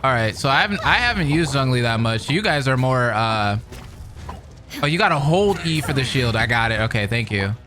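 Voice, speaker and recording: A man doing excitable game reaction commentary, with loud outbursts and cut-off swearing. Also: medium pitch, a little fast. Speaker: gaming commentator. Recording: voice over loud game music. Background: game music.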